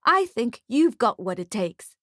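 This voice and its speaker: A woman voicing a kindly man working as Father Christmas, gentle and encouraging, in an audiobook story. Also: on the high side, big pitch swings, unhurried, no room sound, studio quality.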